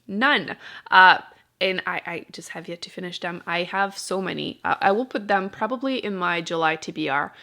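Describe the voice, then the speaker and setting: Creaky-voiced woman chatting casually about books. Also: fairly low pitch, pushed and bright. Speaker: book vlogger and channel host.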